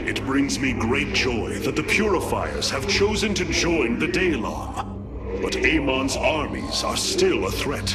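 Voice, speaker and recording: Noble, commanding alien war leader. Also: male voice, medium pitch. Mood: resolute. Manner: formal, stately, oratorical. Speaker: military leader. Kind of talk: epic video game cutscene acting.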